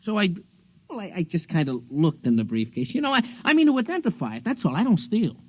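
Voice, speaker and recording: A jumpy, high-pitched barroom regular stammers and swings his voice up and down as he insists he wants no trouble. The sound is the dull, lo-fi tone of a 1950s radio play. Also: sing-song, fast talker. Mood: nervous. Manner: jittery, repeats himself, stammers. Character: nervous working-class male bar patron, anxious to avoid trouble. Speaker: witness questioned by FBI. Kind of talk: 1950s radio drama acting.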